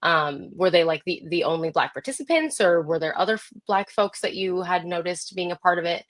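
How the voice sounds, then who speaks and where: A woman's voice: fairly low pitch, expressive, brisk. A woman hosting an informal interview, chatty and encouraging as she relays questions and affirms her guest. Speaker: host, interviewer.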